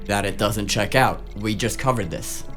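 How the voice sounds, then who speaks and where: A man's voice: fairly low pitch, moderate pace, a bit bright, faint music behind, natural voice. A man playing a brash, foul-mouthed, teasing tech sidekick in a noir audio drama, snarky and colloquial. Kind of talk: noir audio drama acting, casual banter.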